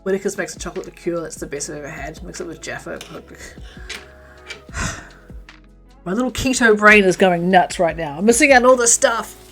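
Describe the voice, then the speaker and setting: A woman's voice: fairly low pitch, lively intonation, moderate pace, long pauses, fairly bright tone, faint music behind. Chatty woman from New Zealand. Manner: chatty, rambling, reads out and answers chat; muttering stitch counts under her breath. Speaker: crochet livestream host. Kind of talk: casual chat while crocheting.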